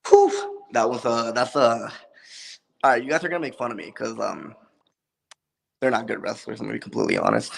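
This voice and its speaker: A young man from Puerto Rico with a lisp, a wrestler answering interview questions in a relaxed, joking way with many ums. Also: medium pitch, a little fast, creaky, long pauses. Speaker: wrestler, guest. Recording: long reverb.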